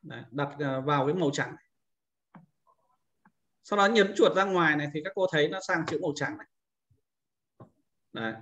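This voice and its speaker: A man's voice: on the high side, animated, fairly quick, long pauses, fairly bright tone. A man giving an informal screen-recorded tutorial as an instructor, explaining things step by step and often repeating himself.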